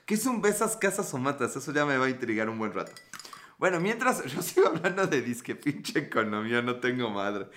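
A man's voice: medium pitch, very animated, brisk, a bit bright, slight background noise. A man in his thirties with a Mexican accent, chatting casually and joking with viewers as a podcast host. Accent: Mexican Spanish. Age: 30s. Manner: joking, profane, playful. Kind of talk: casual live-stream chat reading comments.

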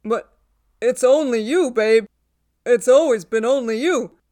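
A woman's voice: on the high side, a little slow, dark tone, dry recording, clean studio sound, voice pushed up, close to an everyday voice. A woman voicing a cocky young man who wheedles and pleads with his ex in an audiobook. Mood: pleading. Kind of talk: audiobook character voice.

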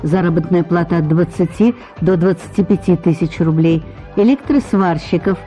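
Low, mellow woman announcer. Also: normal speed, faint music behind. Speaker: radio advertisement announcer. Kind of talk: scripted job advertisement read.

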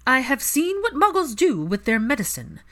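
A woman voicing an aloof, proud aristocratic mother in an audiobook story, speaking in a measured, emphatic, haughty manner. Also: high-pitched, huge pitch swings, a bit bright, voice pushed up, full character voice. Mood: haughty, defiant.

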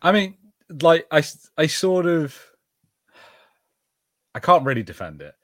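A man's voice: a little high, very animated, unhurried, fairly bright tone, no room sound. Man in dry, close sound, bantering. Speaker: podcast co-host. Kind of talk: casual film watch-party banter.

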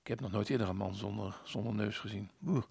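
A man's voice: fairly low pitch, fairly even intonation, fast, gravelly, darkish tone, husky, no room sound, clean studio sound, exaggerated voice. A man playing a loyal, jovial British fighter pilot in a radio play, protective and exclamatory in his talk. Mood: calm, caring.

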